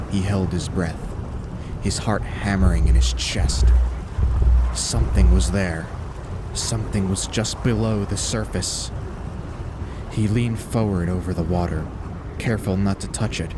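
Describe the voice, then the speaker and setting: A man narrating a scripted horror story in a suspenseful, deliberate way with dramatic pauses. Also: deep voice, very noisy.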